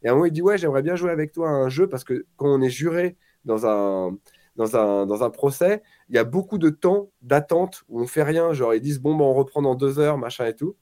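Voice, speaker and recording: A man's voice: medium pitch, a little fast. A man in his forties, a guest on a casual podcast chat, telling stories with energy and acting out quotes. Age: 40s. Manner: animated storyteller, acts out quotes.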